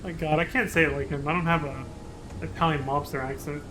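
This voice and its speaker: A man's voice: a little high, steady tone, brisk, noisy background. A male streamer playing a game and reading its dialogue aloud, with playful, jokey asides.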